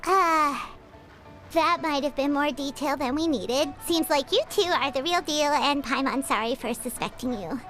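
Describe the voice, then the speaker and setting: A woman's voice: sits high, normal speed, a bit bright, soft background music. A woman voicing a tiny, bubbly fairy companion girl who talks about herself in the third person. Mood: sheepish. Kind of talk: game voice acting.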